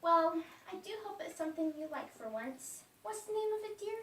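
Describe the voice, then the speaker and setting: A woman's voice: extremely high pitch, lively intonation, a little fast, pushed and bright, exaggerated voice. A woman playing a sweet, dotty, unruffled elderly aunt in a stage comedy, genteel and fussily polite. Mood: sweetly fond. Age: older. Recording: echoey room, far mic, faint noise.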